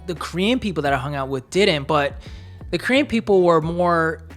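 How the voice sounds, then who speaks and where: Low-voiced reflective woman co-host. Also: lively intonation, normal speed, soft background music. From United States. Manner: reflective, talks in long searching sentences with many fillers. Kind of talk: casual chat.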